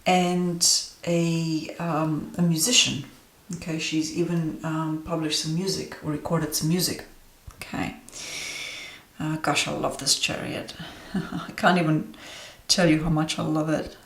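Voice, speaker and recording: A woman's voice: sits low, roomy sound. A woman whose first language is Romanian, talking casually through a tarot deck review with many fillers and self-corrections. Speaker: tarot reader. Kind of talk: casual deck review while showing cards.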